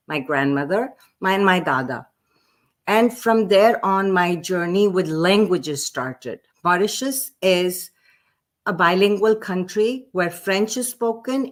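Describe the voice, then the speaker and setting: A woman in her fifties from Pakistan, a French teacher telling her life story in an interview, with frequent restarts. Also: fairly low pitch. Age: 50s. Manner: slow, reflective storytelling with repeated restarts. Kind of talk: casual interview talk.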